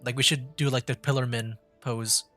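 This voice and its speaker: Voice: medium pitch, steady tone, moderate pace, fairly bright tone. A streamer chatting casually over a game and reading dialogue options aloud, laid-back and conversational. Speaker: streamer, VTuber playing a game. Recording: game music under the voice. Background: game background music.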